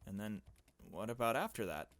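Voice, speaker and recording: A curious, earnest small girl from a visual novel game, read by a man in a fairly low voice. She is persistent with her questions, and the sound is close and dry. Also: big pitch swings, moderate pace, fairly bright tone, no echo. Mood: probing.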